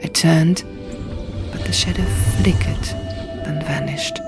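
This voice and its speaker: A woman reading a fantasy audiobook dramatically in the first person, in hushed, deliberate short phrases, over music and sound effects. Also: sits low, fairly even intonation. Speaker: audiobook narrator.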